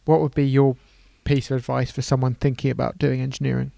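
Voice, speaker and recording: English guy hosting a podcast, gravelly voice, pretty flat delivery, dry sound. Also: medium pitch, fairly quick, dry recording. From England. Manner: friendly, encouraging, hesitant fillers. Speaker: podcast host. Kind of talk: casual interview chat.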